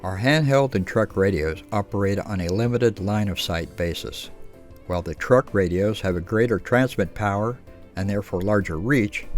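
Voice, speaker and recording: A man with a fairly low voice narrates a training video from a script. His delivery is instructional, and faint music plays underneath. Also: a little mellow.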